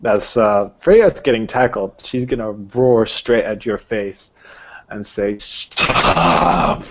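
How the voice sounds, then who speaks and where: A man's voice: fairly low pitch, takes it slowly, a little mellow, dull audio. A man acting as game master, running a tabletop roleplaying session.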